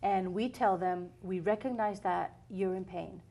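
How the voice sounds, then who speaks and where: A woman in her forties from Puerto Rico, a chiropractor explaining her work in a calm, earnest way as an interview guest. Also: mid-range voice, measured pace, creaky. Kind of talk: interview answers.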